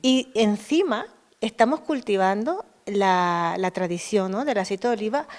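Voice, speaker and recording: A woman's voice: mid-range voice, expressive, measured pace. A woman being interviewed, explaining her work as a producer and host with enthusiasm. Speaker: interviewed olive oil producer and hotel host.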